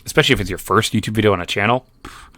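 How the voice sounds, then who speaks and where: A man's voice: fairly low pitch, rapid-fire, sharp tone, no room sound. A man giving casual reaction commentary, skeptical and rambling with restarts. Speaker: YouTube commentator.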